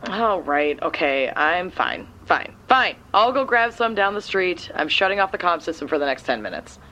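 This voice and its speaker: Female sidekick for audio drama: actress plays blunt, sarcastic comms operator, very animated, faint noise behind. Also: on the low side, normal speed. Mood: breezy, businesslike. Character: blunt, playful sister running a superhero's comms.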